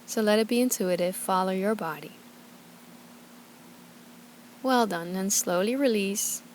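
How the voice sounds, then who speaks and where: A woman leads a guided yoga practice in a gentle, soothing manner. Her voice sits at an average pitch, and she gives short, calm cues. There is some ambient noise behind her. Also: moderate pace. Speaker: yoga and meditation teacher.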